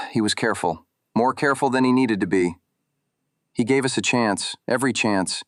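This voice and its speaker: An actor playing a guarded, weary man in a noir audio drama, speaking in terse, measured, ominous lines. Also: mid-range voice, a bit bright, dry recording, studio quality, lightly acted. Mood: grave, resigned. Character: guarded, weary man warning two investigators.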